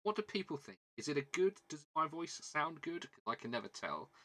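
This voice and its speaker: A man's voice: a little high, very animated, fairly quick, bright, forceful tone. A man with a southern British English accent chatting casually as a livestream host, rambling and self-deprecating, often repeating his words. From southern England. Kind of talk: casual livestream chat while building a model kit.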